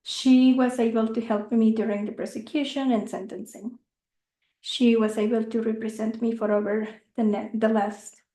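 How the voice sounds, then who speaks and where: Woman, young, earnest, halting, high voice, echoey room. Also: mellow. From Mexico. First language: not English. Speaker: speaker. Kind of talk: informational talk. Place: meeting room.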